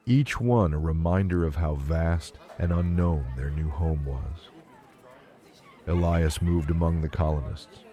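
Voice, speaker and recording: Very deep, mellow male audiobook narrator. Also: no room sound. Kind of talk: scripted science fiction narration.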